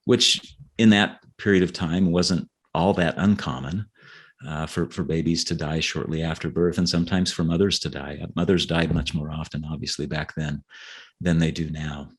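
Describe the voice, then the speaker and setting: Guy with a really low voice being interviewed as an author, talks things through, kinda halting and repeating words. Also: steady tone, breathy edge. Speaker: author interviewed as a podcast guest. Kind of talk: conversational interview, explaining history and his novels.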